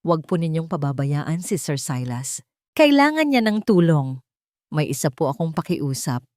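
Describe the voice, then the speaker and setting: A woman's voice: low voice, very animated, moderate pace, a little mellow, no room sound, studio quality. A woman voicing an earnest, anxious young female nurse in a storybook, respectful and pleading, with a Filipino accent.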